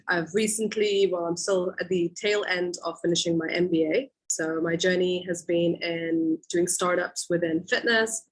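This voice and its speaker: A woman's voice: on the low side, rough recording. A woman from Qatar introducing herself informally as a guest on an online panel.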